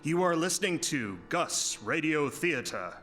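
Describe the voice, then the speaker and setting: Animated male radio announcer. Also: fairly high pitch, unhurried, music in the background. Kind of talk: theatrical scripted radio show announcing.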